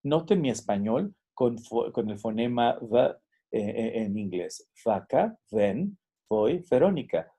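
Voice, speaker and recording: Gravelly-voiced man teaching. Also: mid-range voice, big pitch swings, takes it slowly, warm tone. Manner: explanatory, demonstrates English pronunciation examples. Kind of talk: recorded lecture.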